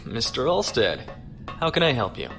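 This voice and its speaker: A man playing a courteous, earnest starship captain in an audio drama, speaking in a measured, formal way. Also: medium pitch, very animated, vocal fry, a bit bright, soft background music, full character voice.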